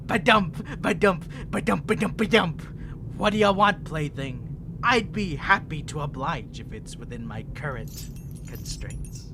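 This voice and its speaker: A man playing an insane, centuries-old vampire prisoner, theatrical, playful and menacing as he teases in a drawn-out ASMR roleplay monologue. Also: high voice, background noise, heavily acted. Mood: excited, taunting. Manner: taunting, teasing, theatrical, giggling, drawn-out delivery.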